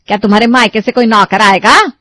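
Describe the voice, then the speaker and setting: Woman doing a naggy middle-aged saas in a cartoon, high voice, sarcastic and chiding, dry sound. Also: brisk, pushed and bright, no room sound. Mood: scolding. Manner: chiding, sarcastic rhetorical questions. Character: nagging, sarcastic middle-aged mother-in-law and aunt. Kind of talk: Hindi cartoon moral story voice acting.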